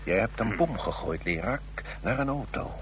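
An actor playing a cool, manipulative secret agent in a radio drama, deliberate, insinuating and coaxing. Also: male voice, lowish voice, animated, lightly acted. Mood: coaxing, insistent. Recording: noisy, humming old radio recording.

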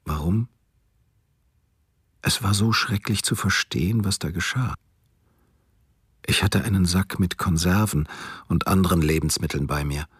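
A somber wartime photographer, deep and husky. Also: male voice, measured pace, long pauses, no echo, clean studio sound, full character voice. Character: male wartime photographer, compassionate, reflective. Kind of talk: German radio documentary reading.

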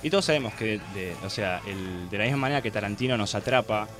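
A man's voice: on the low side, expressive, quick pace, music in the background. A man with a Buenos Aires accent giving a colloquial, rambling opinion column on film for a radio show. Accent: Argentine, Buenos Aires. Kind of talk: casual opinion commentary. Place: radio studio.

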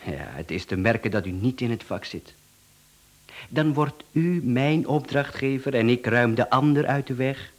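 A man's voice: medium pitch, expressive, moderate pace, close to an everyday voice. An actor playing a cocky, businesslike hitman in a radio play, formal and easily offended. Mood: brisk, businesslike. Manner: formal, smooth-talking salesman patter, indignant.

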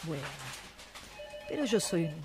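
A woman playing a patient, reasonable police officer in an amateur radio play, speaking in a measured, admonishing and concerned way. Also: lowish voice, very animated, over music. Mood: kindly but firm.

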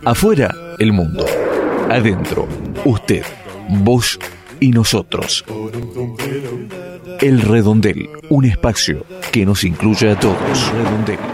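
A man's voice: lowish voice, slow pace, long pauses. A man voicing a scripted radio show promo as its announcer. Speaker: station jingle announcer. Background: music.

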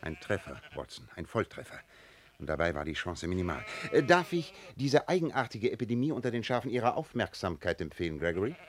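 A shrewd, theatrical detective in a radio play. His lowish voice moves in lively, wide swings, confident and teasing, with playful irony. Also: a little fast. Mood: animated, sly. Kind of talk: German radio play acting.

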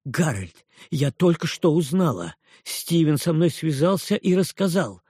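A man's voice: on the high side, big pitch swings, measured pace, a little mellow, slightly rough, no room sound, clean studio sound, pitched above the performer's own voice, exaggerated voice. A man voicing a fussy, hysterical middle-aged aunt who exclaims dramatically.

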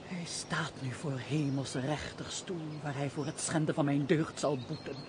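Actress as a tearful, remorseful runaway wife. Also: female voice, sits low, fairly even intonation, moderate pace, background noise, close to an everyday voice. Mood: sorrowful, bitter. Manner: tearful, solemn, melodramatic. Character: remorseful young runaway wife, grief-stricken and self-punishing. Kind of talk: theatrical radio drama acting.

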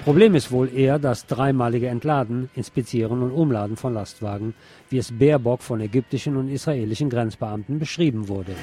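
A man reading scripted radio news in a measured, formal manner. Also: mid-range voice, dark tone, soft background music.

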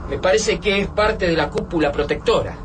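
A man's voice: sits high, brisk, close to an everyday voice. A man with an Argentine accent playing a practical, sober-minded survivor in a radio drama, earnest and explanatory, heard slightly off-mic. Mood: urgent, reasoning. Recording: slightly distant, faintly noisy scene ambience.

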